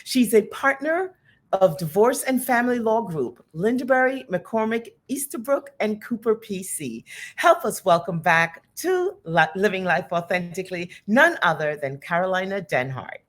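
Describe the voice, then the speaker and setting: Lively-voiced woman hosting a talk show. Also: medium pitch, normal speed. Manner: earnest and animated, speaks out then lightens up. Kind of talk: hosted interview chat.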